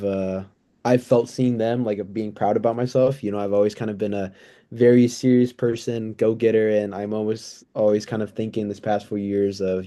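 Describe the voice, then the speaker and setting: A man in his twenties giving earnest, thoughtful, somewhat halting answers in a casual interview. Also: on the low side, mellow. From United States, born in El Salvador. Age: 20s. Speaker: interview guest, pre-physical therapy student.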